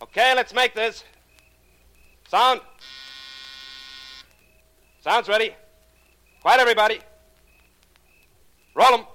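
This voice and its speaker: An actor playing a brisk, commanding film director in 1940s radio drama style. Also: male voice, very high-pitched, pushed and bright, full character voice. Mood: commanding, alarmed. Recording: vintage 1940s radio recording, crowd bustle.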